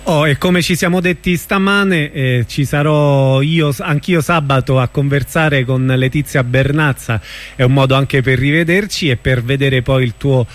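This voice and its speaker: A man's voice: medium pitch, unhurried. A man hosting an informal radio interview, prompting and confirming in a conversational way.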